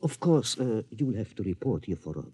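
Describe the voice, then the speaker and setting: Suave, shady android maker for old radio drama, dark hushed-husky tone, hugely swooping courteous delivery. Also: male voice, lowish voice, very animated. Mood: suave, sly. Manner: formal, deliberate salesmanship. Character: courteous, slyly sinister maker of duplicate humans. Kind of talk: 1950s radio drama acting.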